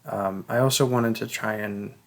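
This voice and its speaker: A man chatting casually and haltingly to his listeners as an audio roleplay creator. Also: on the low side, steady tone, creaky.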